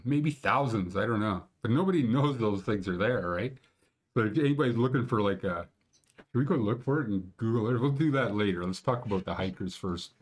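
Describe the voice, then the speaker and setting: A man in his sixties with a Canadian accent, hosting a casual mountain-conditions show from a small room at home and rambling through it with blunt, crude jokes. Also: mid-range voice. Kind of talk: casual screen-share commentary.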